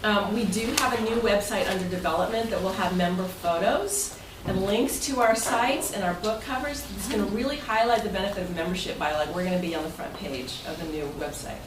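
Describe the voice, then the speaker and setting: A woman's voice: mid-range voice, a little fast, hardly any pauses. A woman giving an informal, chatty and somewhat rambling presentation as president to members at a club meeting. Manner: chatty, rambling, loosely phrased. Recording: roomy, noisy recording from far off.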